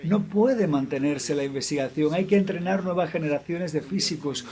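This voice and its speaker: A man gives a formal introduction at a public lecture. His voice sits a little higher than most men's. He speaks in a steady, measured way and stops briefly on a filler now and then. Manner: measured, with occasional hesitant fillers. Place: lecture hall.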